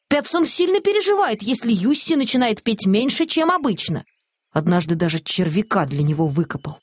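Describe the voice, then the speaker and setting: A woman's voice: on the high side, no echo. A woman narrating a children's story audiobook from a script.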